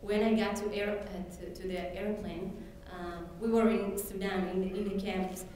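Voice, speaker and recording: A woman's voice: mid-range voice, fairly even intonation, darkish tone, slight background noise. Echoey distant woman telling her story. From Ethiopia. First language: Amharic. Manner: halting, many fillers and restarts. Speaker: speaker sharing her story. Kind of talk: personal testimony speech. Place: event hall. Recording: very echoey room, distant mic.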